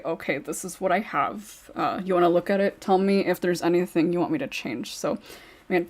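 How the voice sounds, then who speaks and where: A woman with a slightly low voice hosts a relaxed panel chat. She talks informally and a little hesitantly, feeling her way through each point. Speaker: podcast host. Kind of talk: casual panel discussion.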